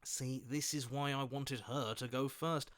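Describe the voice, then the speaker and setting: A man voicing a scheming, flattering, self-serving opportunist in a video game, with a smarmy, wheedling, theatrical delivery. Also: mid-range voice, unhurried, natural voice. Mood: scheming, defensive. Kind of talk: video game character voice lines.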